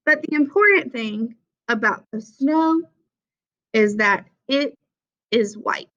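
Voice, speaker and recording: A young woman reads a children's picture book aloud in a fairly high, very bright voice. She takes it slowly, with simple, deliberate phrasing. The recording sounds a little dull and lo-fi. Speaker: read-aloud presenter for children.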